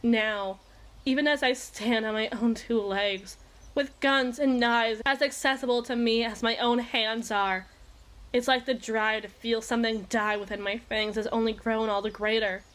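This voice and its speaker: A woman's voice: fairly high pitch, fairly even intonation, a bit bright. Woman playing a feral, brooding evil queen. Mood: hungry, intense. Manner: theatrical, deliberate phrasing with dramatic pauses. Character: feral, obsessive evil queen, intense and eloquent. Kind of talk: dramatic ASMR roleplay monologue.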